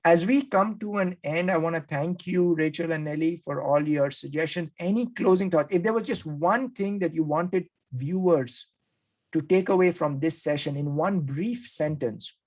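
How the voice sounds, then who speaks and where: A man's voice: fairly high pitch, normal speed, darkish tone. A man hosting an interview, enthusiastically recapping his takeaways and acting out quoted speakers. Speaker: podcast host.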